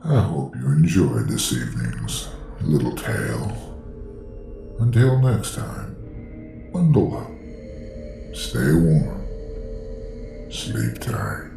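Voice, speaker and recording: A male story host speaks from a distance in a heavily echoing space with music. His voice is extremely creaky and crawls along slowly in a sign-off to listeners. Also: very deep voice, sing-song. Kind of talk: slow scripted sign-off to listeners. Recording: roomy echo, distant, music underneath.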